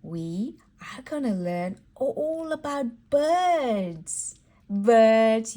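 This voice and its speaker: A woman leading a read-aloud word lesson for toddlers, sing-song and encouraging, repeating words for a small child. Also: a little high. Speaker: children's educator and host.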